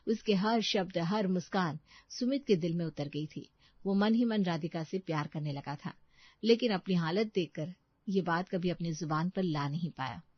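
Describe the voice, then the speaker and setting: A woman's voice: on the low side, normal speed, no room sound, highs cut off. A woman reading scripted storytelling narration as the narrator.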